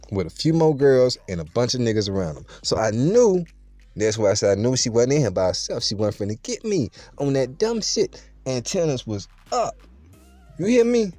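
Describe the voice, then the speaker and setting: Animated storytelling man with street slang. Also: mid-range voice, no room sound. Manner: streetwise, playful, boastful slang, addresses listeners directly. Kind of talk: casual storytelling to viewers.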